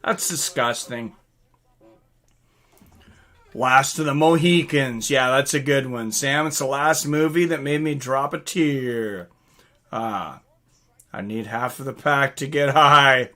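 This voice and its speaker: Bright, excitable man chatting on a livestream. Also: medium pitch, takes it slowly, pauses often. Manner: excitable, informal, swearing. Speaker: livestream host. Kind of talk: casual livestream chat, reading out viewer comments and reacting.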